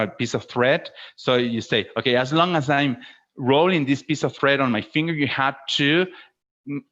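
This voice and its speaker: A man's voice: mid-range voice, a bit bright. A man working as a teacher trainer answers questions in an online webinar, explaining and often checking with "okay?". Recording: dull, lo-fi online call audio.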